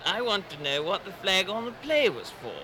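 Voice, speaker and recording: Man acting out a field reporter, high, sharp, faint vehicle noise. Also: big pitch swings, normal speed. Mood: earnest. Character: melodramatic male field reporter on a stretcher.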